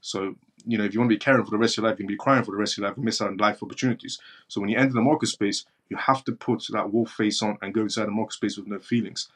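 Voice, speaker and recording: A man's voice: lowish voice, fairly even intonation, very fast, fairly bright tone. A man from northern Iran with as his hosts a live stream, answering viewer chat bluntly and repeating his points for emphasis. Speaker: live-stream show host.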